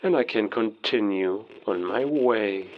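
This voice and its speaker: Slow, low, menacing werewolf villain over radio. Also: male voice. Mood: smug. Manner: slow, deliberate, threatening. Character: menacing male werewolf villain, cold and taunting. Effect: radio filter. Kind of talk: cinematic audio drama acting. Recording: muffled lo-fi military radio transmission.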